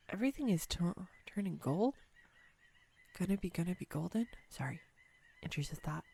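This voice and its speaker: A woman's voice: on the low side, vocal fry, dark tone, dry recording, studio quality, full character voice. A woman playing a clumsy, sweetly awkward young woman smitten on a first date, speaking tenderly and playfully to the listener in a romantic audio roleplay. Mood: playful, awed. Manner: tender, playful, hesitant, self-deprecating. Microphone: close USB microphone, dry room.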